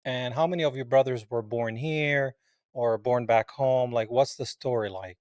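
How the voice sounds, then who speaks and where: A man's voice: medium pitch, normal speed, a little mellow, no echo, studio quality. A man from Palestine hosting a casual interview, laid-back and calling his guest man and bro. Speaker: host.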